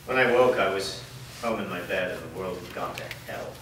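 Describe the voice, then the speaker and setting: A man's voice: sits low, a little fast, a bit bright. A man acting a weary, guilt-ridden blacksmith father in a staged fantasy drama reading. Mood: bitter grief. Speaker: actor. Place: echoey room, recorded from a distance at a staged reading. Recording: echoey room, distant mic, clear background noise.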